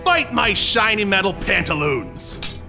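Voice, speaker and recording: Bombastic high-pitched swaggering knight. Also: male voice, big pitch swings, a little slow, vocal fry, pushed and bright, faint music behind, exaggerated voice. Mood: taunting. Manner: bombastic, mock-heroic declamation. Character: theatrical swaggering farce knight, playful comic rival lover. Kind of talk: exaggerated farce audio play.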